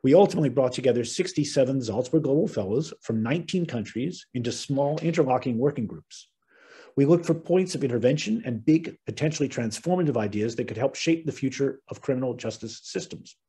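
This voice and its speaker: A middle-aged man with an American accent, hosting an online webinar and giving formal opening remarks in a measured, earnest way. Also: mid-range voice, animated, dark tone, husky. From United States. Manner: measured, earnest, occasional ums and restarts. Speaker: webinar host and moderator. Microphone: video call webinar.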